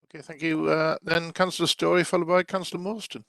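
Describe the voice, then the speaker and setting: Gravelly man chairing a meeting. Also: fairly high pitch, flat delivery, fast, a bit bright, no room sound. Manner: brief, polite turn-giving. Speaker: panel chair.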